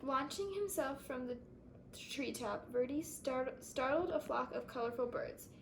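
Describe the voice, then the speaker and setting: A woman reading a storybook aloud to children, in expressive scripted narration. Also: high voice, a little slow, a little hiss or hum, rough recording. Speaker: storybook reader.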